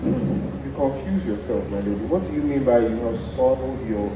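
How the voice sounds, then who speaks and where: Man acting an excitable, mocking man, echoey stage. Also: medium pitch, moderate pace, a little hiss or hum, natural voice. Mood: teasing. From Nigeria. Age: young adult. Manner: exclamatory, mocking. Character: excitable, indignant, gloating man named Ovid. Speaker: student stage actor. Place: theatre stage. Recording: very echoey stage, far mic, music.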